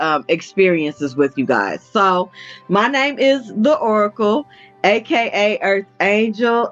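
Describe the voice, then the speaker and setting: A woman co-hosting a livestream as an intuitive medium, talking loudly and full of energy. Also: medium pitch. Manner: loud and energetic. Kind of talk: casual livestream chat. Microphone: computer or phone mic on a video call. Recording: dull lo-fi video call audio.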